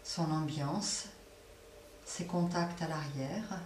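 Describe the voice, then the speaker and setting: A woman leading a guided relaxation as a yoga nidra teacher, speaking softly and unhurriedly with long pauses between phrases. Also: sits low. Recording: roomy echo, distant mic, faint noise.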